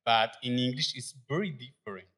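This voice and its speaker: A man telling his own story in halting English, pausing often with fillers and nervous laughs at a live event. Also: medium pitch, sharp tone, husky. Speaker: adult learner sharing his story. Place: event venue.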